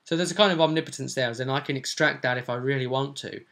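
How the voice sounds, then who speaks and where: Gravelly-voiced man recapping a roleplay game. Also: medium pitch, quick pace, a bit bright. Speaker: game master and show host. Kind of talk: casual recap of a tabletop roleplay session.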